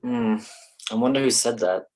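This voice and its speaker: A man's voice: medium pitch, normal speed, darkish tone, close to an everyday voice. A man playing an easygoing, reassuring, joking boyfriend in a staged play reading. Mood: warm, teasing.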